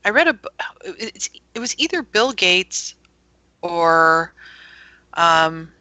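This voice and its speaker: A woman's voice: on the low side, lively intonation, takes it slowly, bright, forceful tone, no echo. A middle-aged woman chatting casually and conversationally on a live-streamed talk show.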